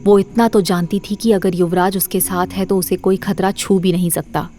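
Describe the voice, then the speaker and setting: A woman's voice: medium pitch, steady tone, normal speed, dark tone, faint music behind. A woman narrator reading a scripted horror story for an audio drama series.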